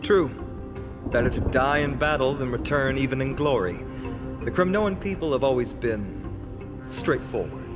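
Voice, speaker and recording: A man's voice: mid-range voice, expressive, moderate pace, natural voice. An actor playing a cheerful, playful young swordsman in a fantasy game, teasing and theatrical in delivery. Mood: wry, teasing. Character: cheerful, playful young heroic male swordsman eager for challenge. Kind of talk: scripted fantasy game voice acting. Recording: loud game music underneath.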